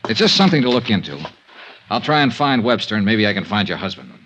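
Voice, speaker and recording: A man's voice: fairly low pitch, very animated, fast, fairly bright tone, a little hiss or hum. An American actor playing a blunt, world-weary male insurance investigator in a 1950s radio drama, both narrating and speaking in scenes. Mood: pressing, frank. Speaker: lead actor and narrator of a radio detective serial. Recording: dull band-limited 1950s radio recording.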